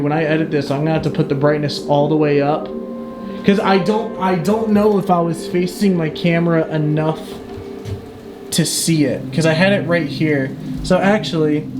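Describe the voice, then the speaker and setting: A young man talking in an excitable, rambling way, with a slightly raised pitch. He swears and repeats himself as he chats. Clear music plays behind his voice. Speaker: paranormal channel host. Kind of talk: casual excited chat.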